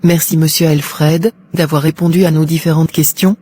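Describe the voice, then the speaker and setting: A woman's voice: low-pitched, steady tone, normal speed, dark tone, slightly rough. An actress playing a polite, probing female talk show presenter in a cartoon, formal and composed. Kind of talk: scripted broadcast interview in a cartoon.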